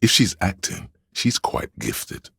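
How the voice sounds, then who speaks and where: An actor voicing the grandiose, courtly inner voices of a detective in a video game, gravelly and husky, with a dramatic, archaic, declamatory delivery. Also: male voice, takes it slowly, fairly bright tone, no room sound, clean studio sound. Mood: theatrical, scheming. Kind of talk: theatrical video game voice lines.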